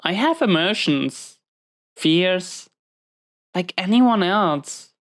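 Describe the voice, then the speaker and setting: A woman's voice: lowish voice, expressive, drawn-out words. A woman playing a dominant, guarded prison inmate, stern but secretly tender, in an intimate roleplay audio drama, giving clipped orders and hesitant confessions. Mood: hurt, stern.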